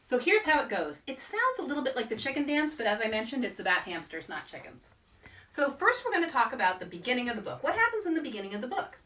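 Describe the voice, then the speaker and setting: A woman hosting a children's story time as an author, giving simple, encouraging call-and-response instructions with animated, repeated action words. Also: high voice, quick pace. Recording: roomy echo, distant microphone.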